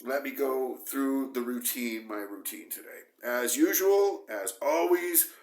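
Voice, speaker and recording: A middle-aged man vlogging to the camera about his training, hoarse from a cold and talking in emphatic, repetitive bursts. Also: medium pitch, measured pace. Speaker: bodybuilding vlogger. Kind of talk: casual talk to camera. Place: small dim room indoors. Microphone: camera close at face, moving. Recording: roomy echo.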